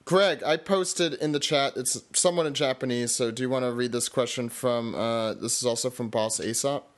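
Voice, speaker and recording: A man's voice: medium pitch, moderate pace, a little hiss or hum. Podcast host, male, mid-range voice, easygoing moderator. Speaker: podcast host reading listener questions. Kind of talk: casual chat.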